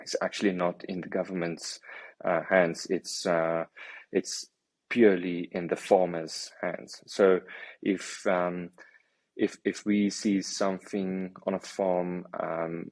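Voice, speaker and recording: A man's voice: deep voice, flat delivery, slow, darkish tone, dry recording. A man from South Africa, a native Afrikaans speaker with a South African accent, chatting as a guest and hesitating often. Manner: hesitant, many ums and restarts. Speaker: guest, stabilizer maker. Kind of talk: casual interview chat.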